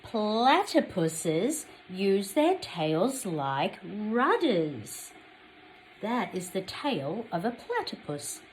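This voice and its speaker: An Australian woman reading a picture book aloud to young children, expressively, pausing to put questions to them. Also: mid-range voice, a little hiss or hum, rough recording. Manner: addresses young children directly, expressive storytelling.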